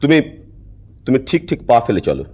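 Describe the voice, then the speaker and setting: A man's voice: mid-range voice, big pitch swings, brisk, mellow, breathy edge. A man playing a sly, watchful bandit henchman in a theatrical cartoon. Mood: coaxing, teasing.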